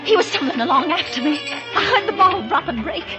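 Terrified wife, very high breathless voice, overwrought radio suspense, music behind. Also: female voice, lively intonation, a little fast, dull audio. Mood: breathless, panicked. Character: terrified, frantic married woman under threat. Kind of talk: 1940s radio suspense acting.

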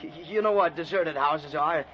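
A man's voice: a little high, moderate pace, lightly acted. An actor playing a nervous, stubborn husband in a radio play, stammering and blustering as he talks. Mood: uneasy. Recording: dull lo-fi radio recording, background hiss.

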